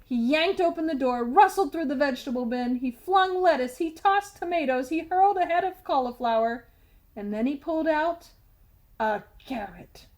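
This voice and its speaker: A woman reading a children's storybook aloud as scripted narration, with deliberate, dramatic emphasis. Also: high-pitched, expressive, a little mellow.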